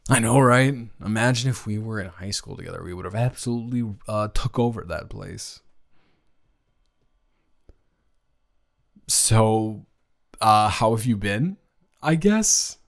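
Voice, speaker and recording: A man's voice: lowish voice, lively intonation, moderate pace, gravelly. A man playing a nervous, smitten young college athlete reunited with a childhood friend, rambling and stammering earnestly in an improvised romantic audio roleplay. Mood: tender, nostalgic.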